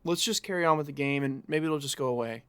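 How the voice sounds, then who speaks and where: A man's voice: fairly high pitch, fast, a bit bright, clean tone, no echo, natural voice. A young man acting as a stubborn game master in a naturalistic audio drama. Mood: uneasy, insistent.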